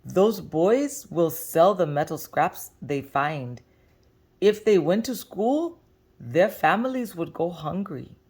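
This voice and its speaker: Female reader as storybook father: gentle, thoughtful, careful and hesitant, speaking slowly. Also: lowish voice, lively intonation, breathy edge, heavily acted. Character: gentle, thoughtful Pakistani father answering his child. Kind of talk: picture-book read-aloud.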